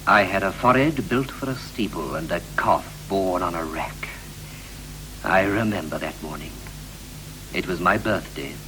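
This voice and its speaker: An actor playing a wistful married man reflecting on his life in a poetic 1960s radio play, with a measured, lyrical delivery. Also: deep voice, pushed and bright, faint music behind, low-quality recording. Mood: wistful, reflective. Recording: hissy, humming 1960s radio recording.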